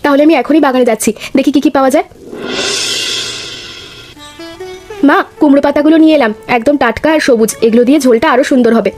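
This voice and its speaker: A woman's voice: high voice, rapid-fire, music in the background, natural voice. A woman voicing an eager, helpful young daughter-in-law in a village cartoon, polite in manner. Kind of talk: scripted cartoon dialogue.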